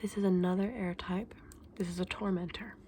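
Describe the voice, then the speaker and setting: A woman voicing a gentle, scholarly dragon egg saleswoman in an ASMR fantasy roleplay, mostly whispering and explaining earnestly with small hesitations. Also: fairly low pitch, steady tone, a little fast, slight background noise, lightly acted. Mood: soft, fascinated.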